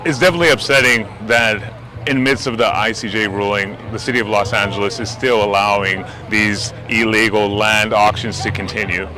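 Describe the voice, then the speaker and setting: A man with a fairly low voice speaks with determination at a street protest. A crowd is clearly heard behind him. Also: fairly even intonation, fairly quick. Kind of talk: interview at a rally. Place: outdoors on a city street.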